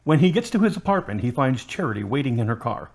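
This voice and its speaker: A man's voice: medium pitch, sing-song, quick pace, a little mellow. Male story narrator with very varied intonation.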